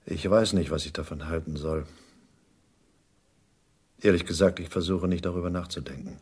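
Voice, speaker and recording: Raspy, weary security officer. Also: male voice, low-pitched, moderate pace, a little mellow. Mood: reserved, evasive. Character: weary middle-aged male security officer, gruffly reserved. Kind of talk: 1980s German radio play acting.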